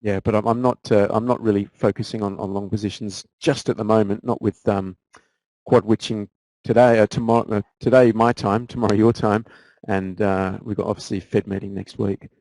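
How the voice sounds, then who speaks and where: Australian man, guest trader, casual Q&A, mellow voice, dry close sound, frequent restarts. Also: lowish voice, fairly quick, no room sound, rough recording. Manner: relaxed, frequent repeated words and restarts. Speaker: guest trader answering viewer questions. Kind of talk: casual live Q&A.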